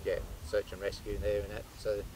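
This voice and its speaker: A man, a guest, telling how a yacht was recovered in a casual outdoor interview on a beach, speaking haltingly with many fillers. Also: fairly low pitch, completely flat delivery, background noise.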